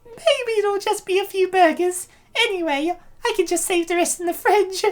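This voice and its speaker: Comic dub role: amateur performer as reluctant, fretful young woman, extremely high-pitched, questioning delivery. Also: moderate pace. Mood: hesitant, worried. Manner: questioning, hesitant, protesting. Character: Shelley, reluctant young woman worried about overeating.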